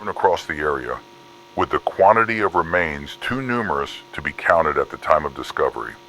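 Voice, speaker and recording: A man's voice: a bass voice, very animated, fairly quick, a bit bright, slight background noise, full character voice, rough recording. A man reading scripted horror case files in a formal, clinical manner. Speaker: narrator of fictional case files. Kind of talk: scripted analog horror document narration.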